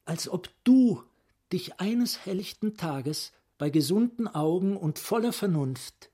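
An actor in a radio play playing a philosophical, probing psychiatrist with an eloquent, rhetorical manner. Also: male voice, sits high, lively intonation, slow, mellow, slightly rough, full character voice. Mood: musing, intense. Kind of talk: radio drama acting, literary monologue.

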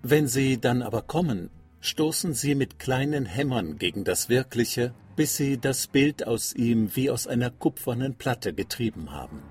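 A husky, exiled German writer. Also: male voice, mid-range voice, lively intonation, unhurried, hardly any pauses, a little mellow, soft background music. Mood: reflective. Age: middle-aged. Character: exiled German writer, early forties, reflective and formal. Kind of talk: literary reading in a radio play.

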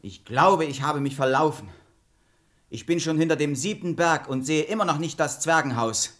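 An actor playing a cheeky, naive, boastful clown in a fairy-tale radio play, exclamatory and clownish. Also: male voice, a little high, expressive, normal speed, a bit bright. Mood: lost, grumbling. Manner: exclamatory, clownish, nonsense catchphrases.

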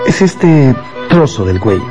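An actor playing a courteous police inspector in a radio drama, probing politely and a little hesitantly, over music. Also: male voice, mid-range voice, very animated, unhurried. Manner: polite, hesitant, circling his questions.

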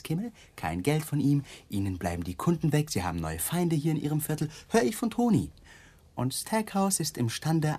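A man's voice: medium pitch, a little mellow, slightly rough. An actor playing a sardonic, menacing police lieutenant in a radio drama, taunting his suspect with probing questions.

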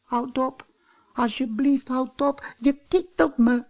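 A tiny, ticklish piece of living wood , acted by a man speaks in a small, high, thin voice. It pleads like a character in a children's storybook. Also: slow pace, heavily acted. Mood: ticklish pleading.

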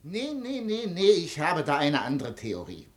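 A man's voice: fairly high pitch, wildly swooping pitch, a little slow. An actor in a 1950s German radio play, playing a fussy, self-important imperial police detective, anxious about his career. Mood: insistent, huffy.

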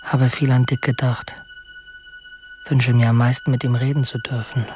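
A lonely young prisoner acted by a man speaks his diary in a radio drama. His middling voice moves in short, clipped fragments, brooding and inward. Soft music lies faintly underneath. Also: medium pitch, level tone, moderate pace, lightly acted. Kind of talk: documentary radio drama monologue.

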